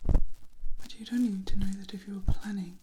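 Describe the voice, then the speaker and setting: A woman playing an ancient, protective female vampire in a soft ASMR roleplay, whispering breathily in a gentle, formal, motherly manner. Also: medium pitch, fairly even intonation, moderate pace, noisy background, close to an everyday voice. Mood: fond, chiding.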